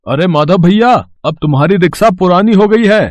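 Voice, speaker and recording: Brusque rickshaw rival for cartoon tale, male performer; high voice, scoffing, dismissive, no room echo. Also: brisk, natural voice. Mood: mocking. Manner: scoffing, blunt. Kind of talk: cartoon moral story acting.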